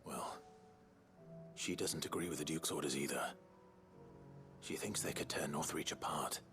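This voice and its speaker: A grave fantasy hero in a video game, with a very deep voice. He speaks formally, measured and understated, over clearly audible background music. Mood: pensive, probing. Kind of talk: scripted game dialogue.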